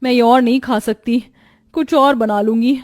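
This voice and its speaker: A woman's voice: a little high, fairly even intonation, normal speed, a little mellow, close to an everyday voice. A woman voicing a young, put-upon new bride who complains and protests plaintively in a cartoon story. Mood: whining dismay.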